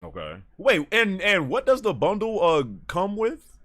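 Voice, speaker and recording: A man hosting a livestream and taking callers, talking in casual chat, animated and full of fillers and restarts. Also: sits high, a little slow. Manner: animated, laughs often, many fillers and restarts.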